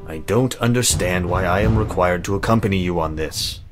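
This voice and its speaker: A man's voice: low-pitched, fairly quick, over music, lightly acted. A man playing an arrogant, creepy warlock mage who speaks in precise, formal, pompous diction in a visual novel. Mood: indignant. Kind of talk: visual novel character acting.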